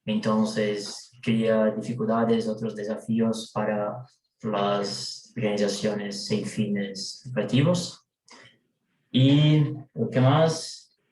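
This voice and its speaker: Brazilian-accented man, low and monotone, hesitant group report over a roomy video call. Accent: Brazilian Portuguese. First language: Portuguese. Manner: hesitant, many drawn-out fillers. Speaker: participant reporting for a working group.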